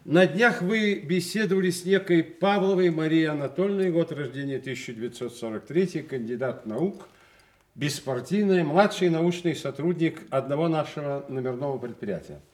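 A man acting in a Soviet radio play as a brisk party secretary, speaking in official phrases with dry, authoritative irony. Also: on the high side, close to an everyday voice. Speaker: actor.

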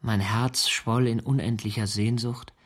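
An actor playing an anguished, yearning young letter writer in a radio play, reciting poetically and broodingly. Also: male voice, mid-range voice, steady tone, a little slow, a bit bright. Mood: brooding, desolate. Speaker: actor in an audio drama. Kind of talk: literary monologue over music. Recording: radio play with brass music behind. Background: brass and horn music.

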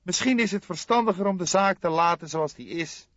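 A man's voice: high-pitched, a little fast, fairly bright tone, no room sound, dull audio, voice pushed up. A man voicing an irritable, sardonic young police detective in an audiobook. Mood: gloomy. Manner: exclamatory, gloomy, sardonic. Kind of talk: audiobook character voice.

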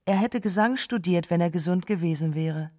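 An actress playing a gentle, grieving wife who remembers a lost love in a halting, subdued way in a radio drama. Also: female voice, lowish voice, lightly acted. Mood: wistful sorrow. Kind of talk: literary radio drama monologue.

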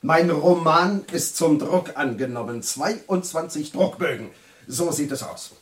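Casting: male actor as self-important scribbler, rather high voice, boisterous and declamatory, radio play. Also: measured pace, close to an everyday voice. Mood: jovial, boastful. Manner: pompous, declamatory. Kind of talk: German radio drama acting.

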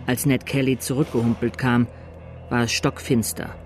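A sober chronicler played by a man tells a violent tale in a radio drama. His mid-pitched voice is measured and literary. Music runs clearly behind it. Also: flat delivery, lightly acted. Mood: grave, measured. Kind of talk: scripted third-person radio drama narration.

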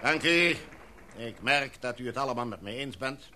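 A man's voice: medium pitch, expressive, over a noisy crowd, heavy background noise, lightly acted. Een man die in een hoorspel een formele, gezaghebbende expeditieleider speelt en afgemeten, redenaarsachtig spreekt. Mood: resolute. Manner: formal, oratorical, measured. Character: authoritative, formal expedition leader, a knighted gentleman. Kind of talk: radio drama acting.

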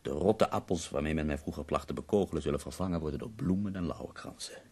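The husky, very low-voiced invalid poet of a radio play, delivering weary, self-mocking irony from his sickbed. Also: fast, warm tone. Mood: weary, bitter. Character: ailing, bitterly ironic, bedridden male poet. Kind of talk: radio drama acting.

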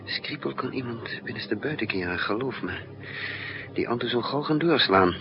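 A man's voice: mid-range voice, expressive, moderate pace, lightly acted. An actor playing a resourceful male spy in a 1960s Dutch radio drama, thinking aloud in hesitant, broken phrases. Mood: intent, puzzling. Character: resourceful male spy narrating his own risky mission. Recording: muffled, noisy 1960s radio recording.

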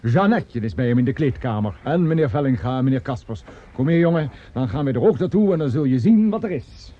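A man's voice: mid-range voice, animated, brisk, lo-fi sound, close to an everyday voice. An actor playing a sly, amused male singer in a radio play, familiar and mocking. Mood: knowing, amused.

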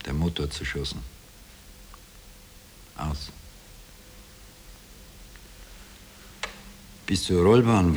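Low-voiced Austrian ex-soldier confessing. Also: male voice, a little mellow. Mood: grim, subdued. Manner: colloquial dialect storytelling, broken into short phrases. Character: haunted Austrian ex-corporal confessing his wartime imposture. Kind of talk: 1960s radio drama monologue. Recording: hissy old radio tape.

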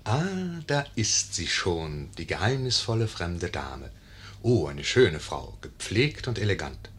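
Husky, lively wry storyteller man. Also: sits low, slow pace, exaggerated voice. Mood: wry, confiding. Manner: wry, confiding. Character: wry storyteller commenting on the play. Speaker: narrator addressing the audience. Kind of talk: 1950s German radio play narration.

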